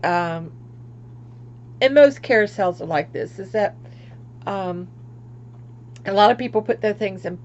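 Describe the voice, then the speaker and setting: An older woman from Florida, chatting casually on her craft livestream, rambling and laughing at herself, often trailing off quietly. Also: on the low side, lo-fi sound, slight background noise. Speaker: craft vlogger.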